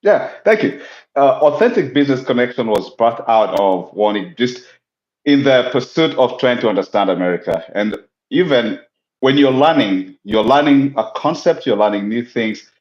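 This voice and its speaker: A man from Kenya, a guest explaining his ideas in a measured, reflective way over a remote call. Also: mid-range voice, animated, darkish tone. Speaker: guest, business owner. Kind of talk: casual interview talk. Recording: echoey, dull lo-fi audio.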